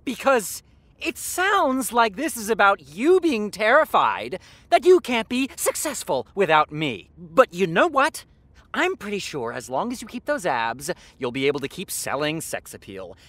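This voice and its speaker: A man playing a defensive, exhausted TV writer with a sardonic, halting delivery in a naturalistic audio drama. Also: high voice, very animated, a bit bright, no room sound, heavily acted. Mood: accusing, cutting.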